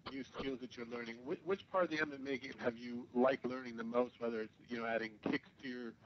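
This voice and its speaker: A man's voice: mid-range voice, steady tone, fast. A man working as a sports reporter, politely and a little hesitantly asking questions on a press call. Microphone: telephone. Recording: dull conference call, faint noise.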